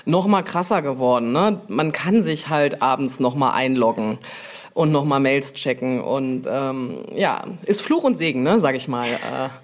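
A woman chatting informally as an interview guest, often pausing to find her words mid-sentence. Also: rumbling low, lively intonation. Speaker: brand manager, podcast guest. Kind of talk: casual interview chat.

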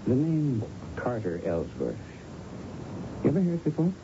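An American actor playing a patient, probing police lieutenant in a 1970s radio drama, questioning in a coaxing, deliberate way. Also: male voice, huge pitch swings. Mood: businesslike. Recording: muffled, noisy old radio recording with rumble.

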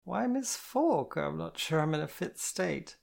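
A reader voicing a tipsy, tearful young woman who speaks in slow, halting, soft phrases and stumbles over her words. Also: medium pitch, expressive, creaky, voice pushed up, heavily acted. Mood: shy, tipsy. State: drunk and weepy.

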